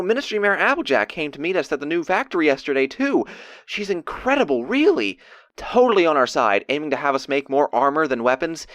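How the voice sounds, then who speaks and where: Animated, bashful young stallion voiced by a man. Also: fairly high pitch, no room sound. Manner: halting, awkward, earnest. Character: bashful, excitable young male pony keeping audio diary. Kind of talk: casual diary monologue, acted.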